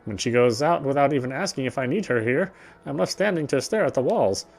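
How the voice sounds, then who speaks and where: A man reading visual novel narration aloud as he plays, often halting and correcting himself. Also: medium pitch, animated, gravelly, breathy edge, faint music behind. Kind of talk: read-aloud game narration.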